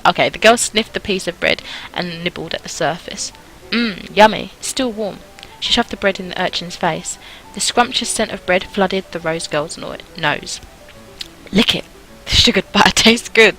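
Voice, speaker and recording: A woman's voice: fairly low pitch, sharp tone, low-quality recording. A woman hosting a gaming video, reading a visual novel aloud with casual, playful, self-mocking commentary.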